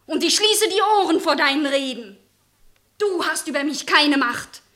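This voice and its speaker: A fierce young woman in a radio play. Her voice is high and bright with a tense edge, and she declaims each line with resolute, protective defiance. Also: animated, normal speed. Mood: fierce, defiant. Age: young adult. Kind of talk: theatrical German radio drama acting.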